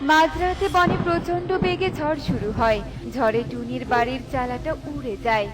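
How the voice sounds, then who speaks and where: A woman's voice: sits high, normal speed. A woman narrator reading a scripted fairy tale for a cartoon. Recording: slightly distant with music bed.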